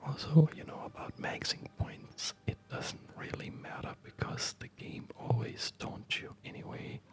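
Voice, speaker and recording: A man doing relaxed, chatty ASMR game commentary in a soft, breathy whisper. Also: unhurried. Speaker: host of an ASMR gaming channel. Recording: background game music. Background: loud game music.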